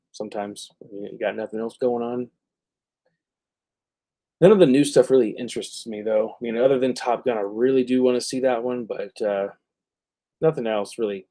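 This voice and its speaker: Mellow, halting man chatting casually. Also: medium pitch. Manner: halting, searching for words. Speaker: live stream host. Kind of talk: casual rambling movie chat.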